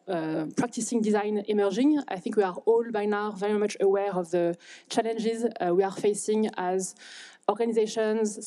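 A woman's voice: medium pitch, dark tone. A woman in her thirties chairing a design panel on stage, speaking into a handheld mic with many hesitant fillers. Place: stage in a large hall.

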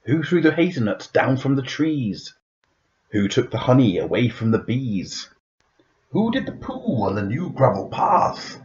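A man reading a children's rhyming picture book aloud in a dramatic, playful, expressive way. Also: mid-range voice, dull audio. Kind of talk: expressive rhyming storybook narration.

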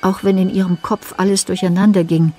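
A woman narrating a radio play from a script in a measured, matter-of-fact way. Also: mid-range voice, little pitch movement, dark tone, faint music behind.

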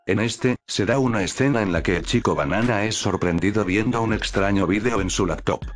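A man's voice: fairly low pitch, a little fast. A man reading scripted narration as the narrator of a countdown video. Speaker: narrator of a top list video. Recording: music behind the voice. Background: background music.

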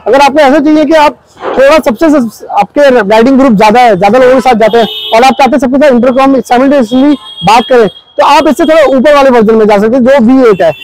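A man's voice: very high voice, darkish tone, a little hiss or hum, low-quality recording. A man, explaining a product to camera in a vlog review, mixing in English technical words. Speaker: motorcycle gear vlogger. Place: outdoors on a busy market street.

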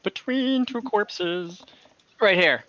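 A young man acting as dungeon master on a video call, easygoing and quick to wave off rule slips. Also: high-pitched, fairly bright tone. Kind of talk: running an online tabletop game. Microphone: headset on a video call. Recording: dull lo-fi video call audio.

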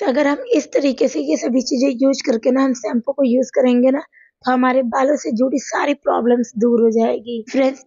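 A hoarse woman with a sore throat narrates a home remedy demo in a chatty voice-over, talking to viewers as friends. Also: high voice, warm tone, no echo, highs cut off. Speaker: cooking and home remedy channel host. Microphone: voice-over recorded apart from the video.

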